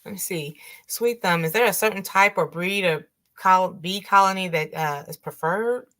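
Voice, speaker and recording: A woman with a raspy voice hosting a casual interview, curious and quick to laugh as she reads out viewer questions. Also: fairly low pitch, fairly bright tone. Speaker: host.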